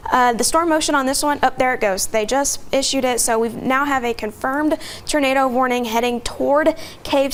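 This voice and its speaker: A woman's voice: a little high, clean tone. Woman explaining radar during a live storm. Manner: steady, explanatory updates with fillers. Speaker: TV meteorologist. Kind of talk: live severe weather coverage.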